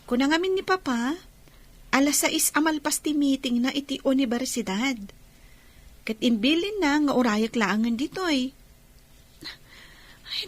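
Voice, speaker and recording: High-voiced, cheerful young daughter. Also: female voice. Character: young adopted daughter, a cheerful trainee, excited and affectionate. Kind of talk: Philippine radio drama acting.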